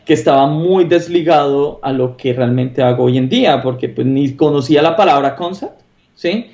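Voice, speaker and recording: Colombian male interview guest, thirties, higher-set voice, enthusiastic and emphatic conversational delivery, slightly dull lo-fi sound. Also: moderate pace, a little mellow. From Popayán, southern Colombia. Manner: enthusiastic, emphatic, often adds a questioning "¿sí?". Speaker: interview guest, concept artist.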